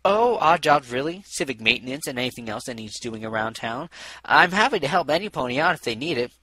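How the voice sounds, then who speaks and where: Man reading as a guarded, bright-voiced unicorn mare. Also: medium pitch, animated, brisk. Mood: polite, evasive. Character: guarded, matter-of-fact female unicorn on a secret mission.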